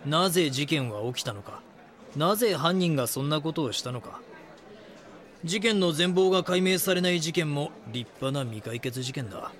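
A man playing a calm, measured chief editor mentoring a junior in a Japanese voice drama. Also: on the high side, a faint crowd. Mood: reflective. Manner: instructive, composed.

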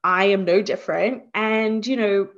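Slow, reflective Australian woman, muffled sound. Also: mid-range voice. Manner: reflective, unhurried, thinks aloud. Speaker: guest, career coach. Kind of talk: conversational interview.

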